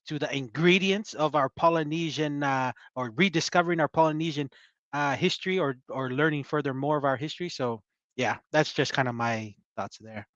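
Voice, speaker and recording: A man co-hosting a casual chat show, rambling with halting fillers and trailing off softly. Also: on the high side, dry recording, lo-fi sound. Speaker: podcast co-host.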